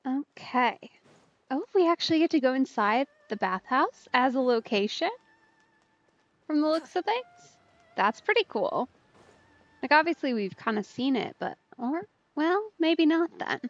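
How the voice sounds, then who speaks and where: High, dry-sounding woman streamer. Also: very animated, measured pace, dry recording, highs cut off. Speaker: game streamer. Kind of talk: casual live playthrough commentary. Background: soft game music.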